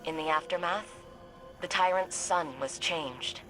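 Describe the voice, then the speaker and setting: A woman playing an earnest, thoughtful sci-fi ally in a video game, with a measured, dramatic and reflective delivery. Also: lowish voice, close to an everyday voice, rough recording. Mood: solemn storytelling. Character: earnest, thoughtful female sci-fi ally guiding a soldier by comms. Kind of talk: scripted video game dialogue and storytelling. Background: prominent music.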